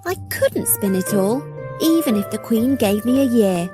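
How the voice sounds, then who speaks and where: A woman's voice: a little high, lively intonation, moderate pace, lightly acted. A woman playing a young fairy-tale girl in a cartoon story for children. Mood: worried. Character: worried, helpless young girl given an impossible task. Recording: music under the voice.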